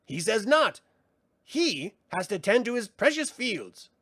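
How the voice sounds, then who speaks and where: A small, mischievous male brownie with a needling, scolding way of talking, as a male narrator puts him on. His voice sits well up in pitch and jumps about in lively swoops. The sound is dry and close. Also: takes it slowly, no room sound, heavily acted. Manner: scolding, pointed.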